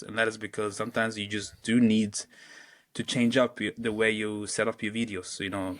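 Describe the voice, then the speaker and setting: A man from Norway, a travel vlogger guest, chatting in a relaxed way and repeating words as he thinks aloud. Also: medium pitch. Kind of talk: casual conversation.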